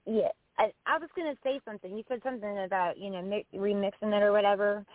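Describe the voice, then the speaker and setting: A woman's voice: medium pitch, fast talker, no echo. A woman hosting a podcast, asking rambling, chatty questions full of restarts. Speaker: podcast host. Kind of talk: casual interview chat. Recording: tinny phone-line sound.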